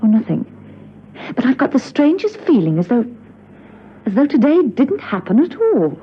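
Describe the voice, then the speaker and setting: An actress playing a poised, curious woman agent in a classic spy radio drama, crisp and inquisitive. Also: high-pitched, very animated, normal speed. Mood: puzzled, intent. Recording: dull radio recording, faint noise.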